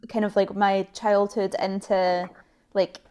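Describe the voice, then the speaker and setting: A woman's voice: medium pitch, flat delivery, slow pace, warm tone, smooth. A young woman from Edinburgh hosting a podcast, chatty, with lots of likes and kind-ofs. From Edinburgh, Scotland. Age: young adult. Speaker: podcast host. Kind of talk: casual interview.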